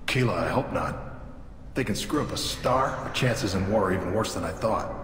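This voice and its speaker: A man's voice: low-pitched, animated, moderate pace. An actor playing a loyal, plain-spoken male alien soldier in a video game, talking casually and bluntly. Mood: concerned. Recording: echoey hall reverb, music behind.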